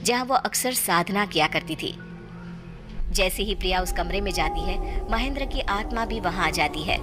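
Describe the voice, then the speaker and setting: A woman's voice: fairly low pitch, expressive, moderate pace. A woman narrator reading a scripted horror story in a storytelling style. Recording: clear music bed under the voice. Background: background music.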